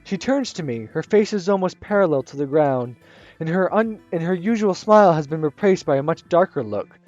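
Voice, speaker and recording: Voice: medium pitch, lively intonation, a little fast, a little mellow, no echo, muffled recording, soft background music. A gaming channel host narrating a visual novel aloud, stumbling and restarting as they read.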